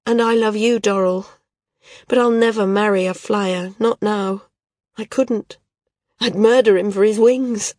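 A woman's voice: medium pitch, steady tone, unhurried, a little mellow, no echo, studio quality, voice pushed up, lightly acted. A woman reading an audiobook, voicing a proud young female flyer who pleads and breaks off mid-sentence. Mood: rueful.

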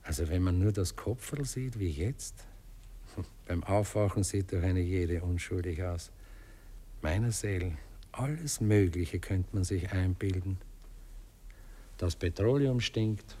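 An actor playing a dreamy, philosophizing gentleman with a husky voice and Viennese accent, musing haltingly in a 1960s radio play. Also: sits low. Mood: hesitant, curious. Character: dreamy, philosophizing gentleman waking after a night out.

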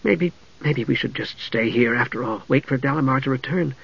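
A man's voice: medium pitch, brisk, gravelly, a bit bright, slightly rough, muffled recording. A man voicing an anxious, easily frightened young woman in a storybook, fretful and pleading. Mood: worried.

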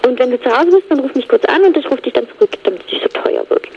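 A woman playing a hesitant caller who leaves a halting, casual voicemail message in a radio play. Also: high-pitched, animated, creaky, a little hiss or hum. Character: hesitant woman leaving a voicemail about a request. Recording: dull lo-fi voicemail.

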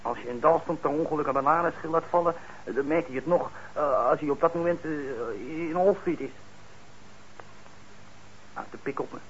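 A man's voice: a little high, moderate pace, close to an everyday voice. An actor in a Dutch radio play playing a troubled young man on probation, blunt and hesitant, resentful yet eager. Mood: defensive, bitter. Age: young adult. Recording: muffled, noisy old radio recording.

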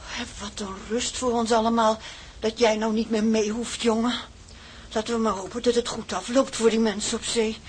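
A woman's voice: a little high, flat delivery, moderate pace, slight background noise, close to an everyday voice. An actress playing an anxious, pious older mother of a seafaring family in a radio drama, fretful and devout. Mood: caring, worried. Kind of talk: Dutch radio drama acting.

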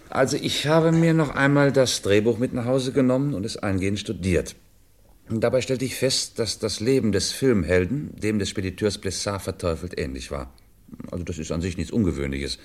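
An actor playing a shrewd, understanding French police commissioner in a 1950s radio drama, explaining with deliberate, theatrical emphasis. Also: male voice, on the low side, very animated, darkish tone. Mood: explaining, assured.

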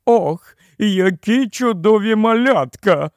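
A man's voice: high voice, expressive, moderate pace, a little mellow, no room sound, studio quality, pitched above the performer's own voice. A man voicing an enormous, fussy giant queen from a storybook, gushing and exclaiming as he goes. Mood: gushing delight. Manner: exclamatory, cooing, repetitive fussy commands. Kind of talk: acted audiobook storytelling.

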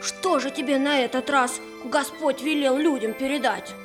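A woman's voice: high voice, moderate pace, close to an everyday voice. A woman playing a haughty, spiteful little speck of dust in a children's fairy-tale audio play, taunting and boastful. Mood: prying, haughty. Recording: music behind the voice.

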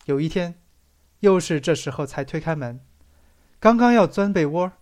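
An audiobook reader narrating a literary story in an expressive storytelling manner that stresses the dramatic phrases. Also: mid-range voice, normal speed.

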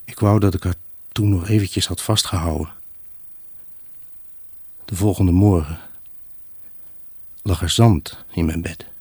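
Low, husky haunted husband. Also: male voice, steady tone, a little mellow, no echo, exaggerated voice. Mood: mournful, regretful. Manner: brooding, halting, emotionally raw. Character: grieving, haunted husband, lonely, obsessive and pleading. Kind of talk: Dutch radio drama monologue, intimate first-person narration.

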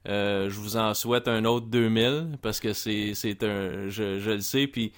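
A man's voice: on the low side, fairly even intonation, a little slow, clean tone. A man with a Quebec French accent hosting a casual gaming podcast, chatty and full of repeated words as he thanks his guest. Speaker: podcast host.

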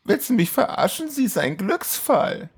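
A troubled young woman, played by a man in a comic audio play, pitched high and rough-edged. She sounds dramatic and sarcastic, questioning everything with lively swings. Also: moderate pace, exaggerated voice. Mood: incredulous.

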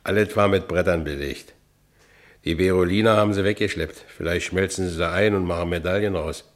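Low-voiced, brooding ex-convict. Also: male voice. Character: down-and-out Berlin ex-convict man, brooding yet defiant. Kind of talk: radio drama acting, first-person narration.

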